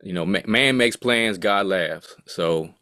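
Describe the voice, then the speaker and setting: A man's voice: low voice, slow, bright, forceful tone, no room sound, studio quality. A man in his late thirties, a music producer speaking as an interview guest in a laid-back, rambling way full of asides. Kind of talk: casual interview talk.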